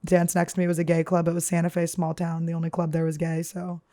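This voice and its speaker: A woman with a deep, damaged-sounding voice hosts a podcast, telling crude, self-mocking stories nonstop in a studio. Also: monotone, fast talker, dark tone. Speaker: podcast host. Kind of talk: rambling comedic storytelling.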